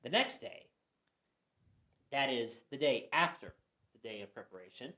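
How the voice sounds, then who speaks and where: A man's voice: medium pitch, expressive, a little slow, pushed and bright. A man narrating scripture for a church radio play, reading earnestly and sometimes stumbling. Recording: echoey room.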